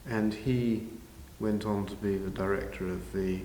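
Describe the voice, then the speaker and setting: An older man answering interview questions informally, in a measured, halting way with pauses. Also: lowish voice, steady tone, mellow. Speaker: interviewee, village pantomime organiser. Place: large village hall, indoors. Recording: very echoey hall, faint noise.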